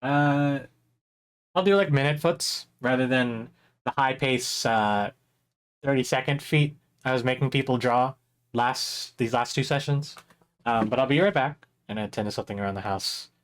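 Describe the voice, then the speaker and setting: Young man, slightly hoarse voice, art teacher on a live stream, casual rambling, lots of ums. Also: medium pitch, normal speed. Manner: chatty, rambling, with many fillers and laughs. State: a little hoarse. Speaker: art instructor streaming a drawing workshop.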